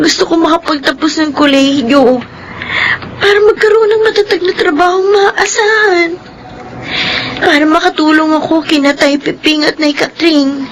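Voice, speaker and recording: A woman's voice: high-pitched, slight background noise, low-quality recording. A young woman with a Filipino accent playing an earnest, wounded daughter in a radio drama, plaintive, with music behind. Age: young adult.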